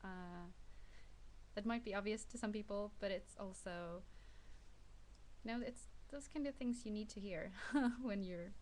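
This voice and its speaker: A woman's voice: medium pitch, a little slow, a little mellow, a little hiss or hum. A young Swedish woman, not a native English speaker, talking hesitantly in a casual personal vlog, with many fillers and restarts. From Sweden. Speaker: vlogger.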